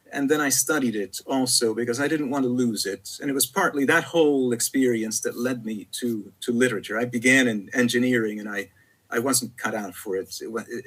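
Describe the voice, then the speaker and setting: A man, a poet whose first language is Italian, speaking reflectively in an interview with frequent pauses, fillers and repeated words. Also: medium pitch, poor audio.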